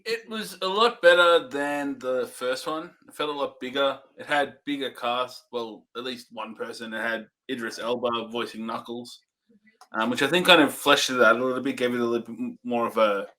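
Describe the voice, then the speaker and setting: A man's voice: mid-range voice, moderate pace, pushed and bright, rough recording. A man co-hosting a casual movie review, giving loose, hesitant opinions with frequent ums and restarts. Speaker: co-host.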